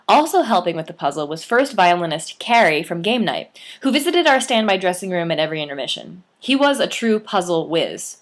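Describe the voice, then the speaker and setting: A young adult woman, the author, reading her memoir aloud with lively, playful storytelling and expressive emphasis. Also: fairly low pitch.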